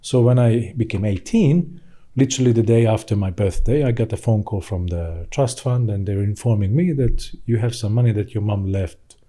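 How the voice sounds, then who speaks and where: A man's voice: fairly low pitch, moderate pace, mellow. A man in his thirties with a Hungarian accent, telling his story conversationally as a podcast guest in a studio. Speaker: podcast guest, financial services professional.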